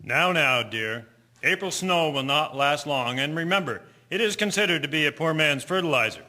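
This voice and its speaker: A man playing a calm, kindly husband and father in a 1920s audio drama. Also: on the high side, moderate pace. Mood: soothing. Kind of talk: scripted audio drama acting.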